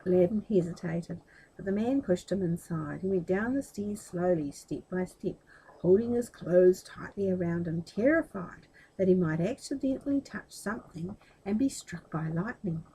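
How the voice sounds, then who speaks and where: Husky, expressive woman storyteller. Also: fairly low pitch, normal speed, low-quality recording. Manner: expressive, with explanatory asides. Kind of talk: reading a children's story aloud.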